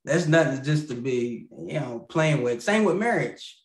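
A man in his thirties speaks reflectively about his church and marriage, pausing with frequent ums. He is on a video call from a small room at home that sounds a little roomy. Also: a little high, expressive. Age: 30s. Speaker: assistant pastor. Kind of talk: casual interview chat.

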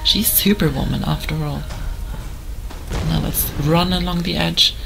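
A hoarse woman giving chatty, rambling commentary over a game she plays. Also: deep voice, steady tone, unhurried, music in the background, poor audio. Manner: chatty, rambling, full of fillers. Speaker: gamer. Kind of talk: let's play commentary.

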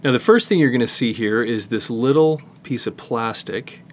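A middle-aged man giving a casual hands-on tutorial, explaining in a halting way as he works with his hands. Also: mid-range voice, a little hiss or hum. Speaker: presenter demonstrating cassette disassembly.

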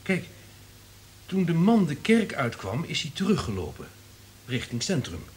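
An actor playing a wary, calculating subordinate in a radio play, deferential but insistent. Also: male voice, on the high side, huge pitch swings, a little slow.

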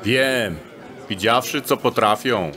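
A man playing a confident thief posing as a gentleman in a fantasy audio drama, with pompous, archaic phrasing. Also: mid-range voice, takes it slowly. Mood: grave.

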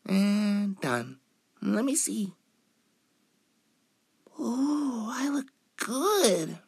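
A man plays a sweet, bashful young femboy in an intimate ASMR roleplay. The delivery is slow and hesitant, with coy pitch swings. The recording is close and dry. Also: very animated, vocal fry, husky, no echo, full character voice. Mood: soft, pleased. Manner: hesitant, coy, with drawn-out words. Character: cute, shy, playful young femboy trying on outfits.

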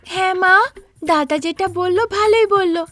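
A woman's voice: very high-pitched, normal speed, fairly bright tone, no room sound, pitched above the performer's own voice. A woman voicing an eager, pleading little girl in a cartoon story. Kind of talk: Bengali cartoon voice acting.

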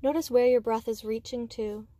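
A woman guiding a meditation with slow, soothing instruction, speaking gently and unhurriedly in short phrases with pauses. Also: on the high side, steady tone, no room sound. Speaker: meditation guide.

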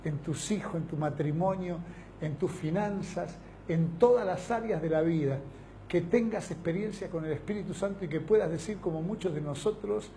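A middle-aged man with an Argentine accent addresses viewers as a pastor, building fervent, emphatic lists. His voice sits a bit high yet sounds mellow and dark. A faint steady noise lies underneath. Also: moderate pace. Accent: Argentine Spanish. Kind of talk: televised church invitation. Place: small indoor studio set, seated.

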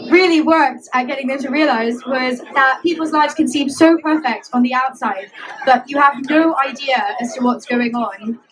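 A woman's voice: high-pitched, fairly bright tone, slight background noise. A woman in her twenties sharing her experience in an upbeat, sincere way. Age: 20s. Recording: distant mic with crowd behind.

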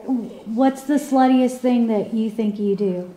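A woman's voice: a little high, takes it slowly, mellow, a little hiss or hum. A woman interviewer asking questions in a casual, conversational way. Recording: echoey room, off camera.

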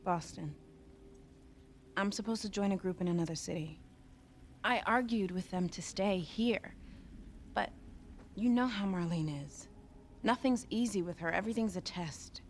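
A woman playing a defiant, earnest American teenage girl in a naturalistic video game cutscene, quick to defend herself. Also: fairly low pitch, a little hiss or hum, lightly acted. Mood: uneasy, explaining.

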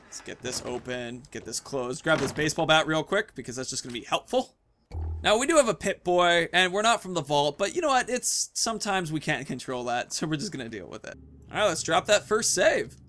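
A man in his thirties giving chatty, animated Let's Play commentary, telling a roleplay story and stressing key words. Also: fairly high pitch, fairly quick, a little hiss or hum. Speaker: gaming video host.

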